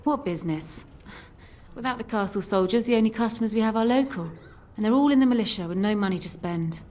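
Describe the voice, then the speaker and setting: A woman's voice: mid-range voice, fast, a little mellow, slight background noise, close to an everyday voice. An actress playing a tired young barmaid who is guarded and resentful of her boss. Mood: weary. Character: weary young barmaid at a tavern. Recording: game audio over music. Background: game music.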